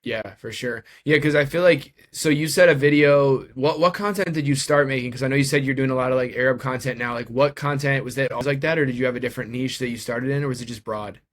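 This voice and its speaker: A man interviewing someone in a relaxed, conversational way. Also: medium pitch, quick pace, few pauses. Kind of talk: casual interview chat.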